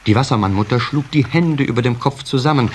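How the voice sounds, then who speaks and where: A man narrating a scripted children's story in an expressive storytelling manner. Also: medium pitch, fast. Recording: cassette recording with faint hiss.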